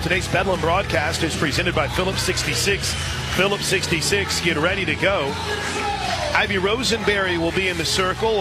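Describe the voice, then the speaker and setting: Male sports radio announcer, higher-pitched, excitable softball play-by-play caller, clear music behind him. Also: poor audio. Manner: excitable on big plays. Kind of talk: live softball play-by-play commentary.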